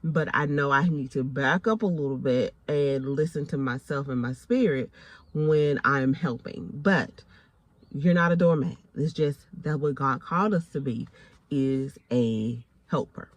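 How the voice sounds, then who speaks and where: A woman's voice: very deep voice, a little slow, no echo. A woman in her forties with a Southern American accent, giving a faith talk to camera in an emphatic, preacherly way, repeating phrases for stress and calling listeners honey. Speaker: faith and lifestyle vlogger.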